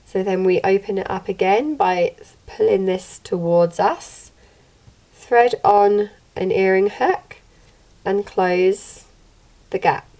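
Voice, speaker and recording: A young woman with a slightly croaky voice, giving a gentle, steady step-by-step craft tutorial from home. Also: mid-range voice, takes it slowly, low-quality recording. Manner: gentle, steady instructional delivery. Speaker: craft channel host. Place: home room, indoors.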